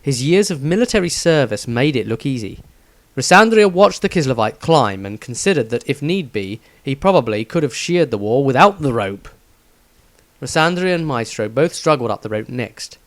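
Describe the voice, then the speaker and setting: A man narrating a fantasy story aloud in an expressive storytelling manner. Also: medium pitch, normal speed, dry recording.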